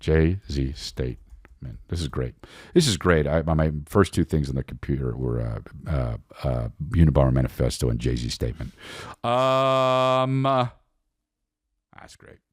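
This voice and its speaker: A man's voice: rumbling low, expressive, a little slow, no room sound, studio quality. A man hosting a comedy podcast, rambling casually in slang and profanity, scattered and often amused by his own jokes.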